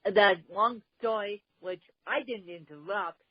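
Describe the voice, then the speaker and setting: A woman hosting a podcast interview, speaking deliberately with a slight speech impediment. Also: mid-range voice, breathy edge, no room sound, lo-fi sound. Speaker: podcast host.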